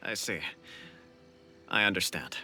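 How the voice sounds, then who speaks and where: An actor playing a courteous, dignified government official in an anime-style game, speaking formally and with composure. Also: male voice, low voice, a little slow, bright, forceful tone, heavily acted. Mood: composed, polite. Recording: game music behind the voice.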